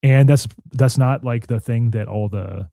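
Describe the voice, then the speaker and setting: A man's voice: measured pace, dark tone, dry recording, studio quality. A young man hosting a podcast in casual chat, talking in long rambling stretches full of "like". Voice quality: deep voice. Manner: rambling, filler-heavy, frequent "like". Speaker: podcast host.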